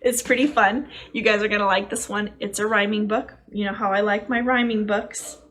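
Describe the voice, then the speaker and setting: A woman's voice: mid-range voice, fairly even intonation, moderate pace, fairly bright tone. A woman hosting a children's storytime, reading a picture book aloud with simple, chatty asides to the young listeners. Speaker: storytime host.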